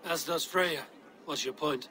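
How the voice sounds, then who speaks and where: A man playing a stoic, wry Viking warrior in a game cutscene, speaking in a measured, dry way. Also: fairly high pitch, bright, forceful tone, a little hiss or hum. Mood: dry, dismissive. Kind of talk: dramatic game cutscene acting.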